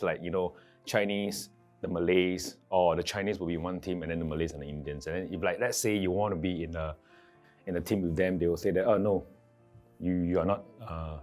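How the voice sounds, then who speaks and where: Guy w deep voice, halting casual story, repeats bits, music behind. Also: darkish tone. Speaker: interviewee. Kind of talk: casual recollection.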